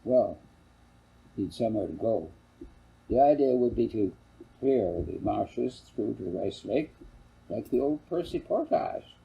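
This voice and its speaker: An older man voicing a plain-spoken country father in a storybook, gravelly and wryly folksy. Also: fairly low pitch, animated, a little slow, pauses often, lo-fi sound, full character voice. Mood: matter-of-fact.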